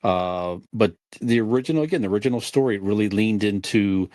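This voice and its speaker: A man's voice: on the low side, brisk, darkish tone, no echo, studio quality. A middle-aged man co-hosting a podcast, talking casually and conversationally. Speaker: podcast co-host.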